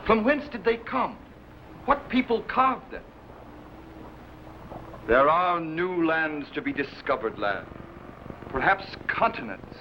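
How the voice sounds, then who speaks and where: A man's voice: a little high, sing-song, slow, long pauses. A man playing a weary, earnest middle-aged sea admiral in a formal, declamatory old-time radio adventure style. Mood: weary, foreboding. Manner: formal, declamatory archaic phrasing. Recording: dull, noisy old radio recording.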